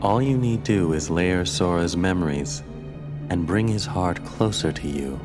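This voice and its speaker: A suave, manipulative game villain. His voice is low and commanding, grand and measured, with music behind it. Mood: silky command.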